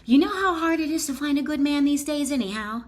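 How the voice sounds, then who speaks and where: Lady doing a nagging inner doubt voice, higher pitched, sassy n dismissive, from a self-help book. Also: lively intonation, fairly quick. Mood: dismissive scolding. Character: scolding, sassy inner critic, the doubting conscious mind.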